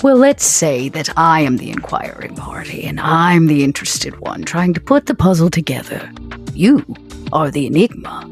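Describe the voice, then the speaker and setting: A woman voicing a poised, probing female vampire with formal, coy, deliberate phrasing in improvised roleplay. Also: low voice, big pitch swings, soft background music. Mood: inquisitive, teasing. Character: poised, probing, elegant female vampire businesswoman named Vera.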